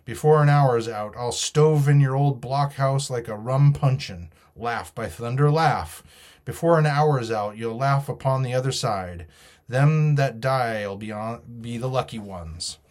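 A man's voice: medium pitch, a little slow, darkish tone, lightly acted. A man voicing a wily, blustering old pirate ringleader who talks in folksy sailor's dialect and oaths in a storybook reading. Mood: furious, spiteful.

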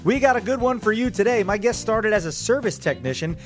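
A man's voice: high voice, lively intonation, fairly quick, soft background music. A man hosting a podcast in a casual, upbeat, chatty interview style. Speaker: podcast host.

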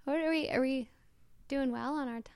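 Chatty woman with a gravelly young voice. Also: high voice, moderate pace, a little mellow, no room sound, studio quality. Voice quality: youthful-sounding voice. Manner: chatty, rambling with many likes and restarts. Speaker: podcast co-host. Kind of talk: casual chat.

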